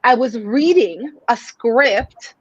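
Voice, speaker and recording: A woman's voice: a little high, animated, slow, dry recording. A woman in her forties from Mexico, a native Spanish speaker, telling stories earnestly as a sales coach guest, with many fillers. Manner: earnest, storytelling, frequent fillers. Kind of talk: casual interview talk. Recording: dull lo-fi sound.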